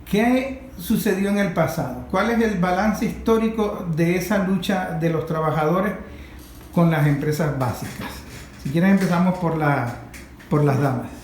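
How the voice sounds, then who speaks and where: Higher-pitched Venezuelan male host. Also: moderate pace, darkish tone, a little hiss or hum. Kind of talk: news talk show presenting and questioning guests. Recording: roomy sound with faint music.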